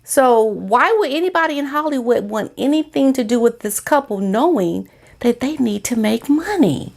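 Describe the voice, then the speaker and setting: A woman's voice: on the high side, animated, normal speed, gravelly. An American woman giving informal opinion commentary as a vlogger, speaking in a sassy, emphatic, colloquial way.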